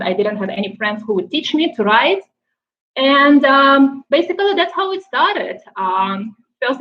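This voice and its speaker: A woman from Ukraine with a Ukrainian accent, chatting casually on a live stream, often pausing with fillers and restarting her sentences. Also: on the high side, lo-fi sound. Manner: halting, many fillers and restarts, laughs often. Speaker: motorcycle traveler hosting a live stream.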